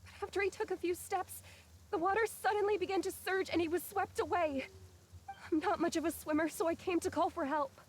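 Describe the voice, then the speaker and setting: A woman's voice: very high voice, steady tone, a little fast. A woman playing a polite, worried travelling companion in a video game. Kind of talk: video game character acting.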